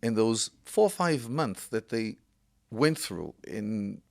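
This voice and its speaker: A man in his sixties from Israel, a podcast guest telling his family history in an unhurried way with many pauses. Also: lowish voice, animated, vocal fry, a little mellow. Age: 60s. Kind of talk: casual interview chat.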